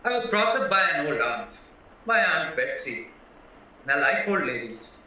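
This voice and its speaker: A man's voice: a little high, big pitch swings, normal speed, pushed and bright, heavily acted. A man acting a frank, flustered young married murder suspect in an audio play, hesitant and earnest as he protests. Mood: earnest, candid. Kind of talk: stage-style courtroom audio drama acting. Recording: echoey, distant, dull, music behind.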